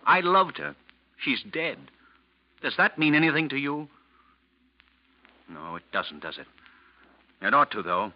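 A man plays a bitter, grieving widower in vintage radio drama. His mid-pitched voice swings widely, curt and full of accusation. The old recording sounds muffled. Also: expressive, unhurried, long pauses, close to an everyday voice. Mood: bitter, anguished. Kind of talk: 1940s radio drama acting.

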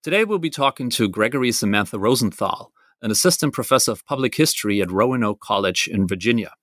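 A man's voice: on the low side, animated, a bit bright, no room sound. Man introducing a history podcast. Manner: formal, measured introductions. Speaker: podcast host. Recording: dry close-miked sound.

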